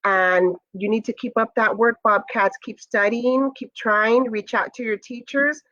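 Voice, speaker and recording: A woman's voice: fairly high pitch, fairly even intonation, unhurried, fairly bright tone, dull audio. A woman reading a picture book to young pupils, expressively and with direct asides to her listeners. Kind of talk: children's read-aloud with asides.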